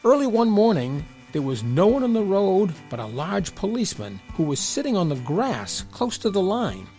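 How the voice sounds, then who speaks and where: Animated, higher-pitched man telling stories. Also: normal speed, faint music behind. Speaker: storyteller. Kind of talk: scripted children's story narration.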